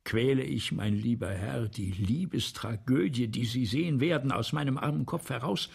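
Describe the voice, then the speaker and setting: A man's voice: medium pitch, vocal fry, a little mellow, husky. An actor playing a vain, embittered playwright who scorns his audience, speaking with haughty weariness in a theatrical German radio drama. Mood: self-pitying, precious. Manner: haughty, weary, self-pitying, deliberate.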